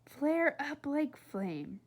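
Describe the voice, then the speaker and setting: A woman's voice: high-pitched, sing-song, extremely slow, voice pushed up, heavily acted. Woman voicing an earnest, poetic storybook squirrel. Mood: resolved. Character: happy, poetic male squirrel, earnest and devoted.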